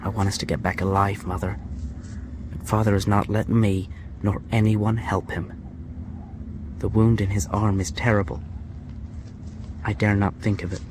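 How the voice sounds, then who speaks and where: An actor playing a worried young son in prison in a radio drama, speaking earnestly and somberly. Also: male voice, fairly low pitch, flat delivery, normal speed, long pauses, lightly acted. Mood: somber, reflective. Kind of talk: radio drama acting with letter narration. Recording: clear ambient noise behind the voice.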